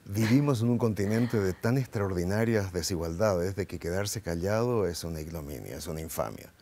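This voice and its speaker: A middle-aged man with a dark, mellow voice talks as an interview guest. He argues with passion and keeps asking rhetorical questions. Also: low voice, expressive, normal speed. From Paraguay. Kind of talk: interview, political commentary.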